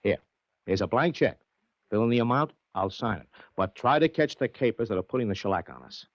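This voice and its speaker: A man's voice: on the low side, expressive, brisk, no room sound. A man playing a worried, tough, streetwise betting agent in a 1940s radio drama, talking in slang. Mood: urgent, businesslike. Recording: dry, muffled vintage radio recording.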